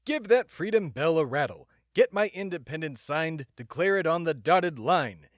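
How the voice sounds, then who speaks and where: A pompous male patriot played by a man holds forth as a comedy podcast host. His voice sits a little high and swings widely in pitch. He delivers everything with mock-serious grandeur, as if he were enormously important. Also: lively intonation, moderate pace, no room sound, clean studio sound, close to an everyday voice. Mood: grandly dramatic. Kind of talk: mock-serious comedic monologue and dramatic reading.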